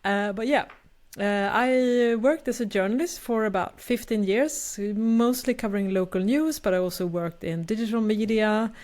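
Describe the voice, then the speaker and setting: A woman's voice: mid-range voice, normal speed, few pauses, a little mellow. A Swedish woman in her fifties with a Swedish accent, co-hosting a podcast in a chatty, self-deprecating way with frequent laughs. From Sweden. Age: 50s. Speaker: podcast co-host. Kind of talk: casual podcast chat.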